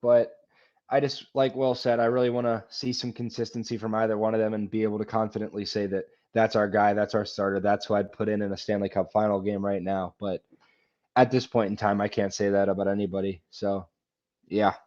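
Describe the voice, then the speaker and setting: A man with a fairly low voice chats casually about hockey as a podcast co-host. He is blunt and opinionated, and the sound is a little dull and lo-fi. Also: flat delivery, fairly quick, a little mellow. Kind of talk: casual hockey fan chat.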